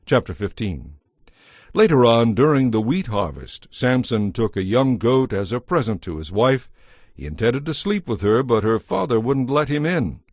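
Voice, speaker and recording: Casting: male narrator for dramatized scripture, mid-pitched, measured and solemn scripted storytelling. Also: sing-song, a little mellow, no echo, studio quality.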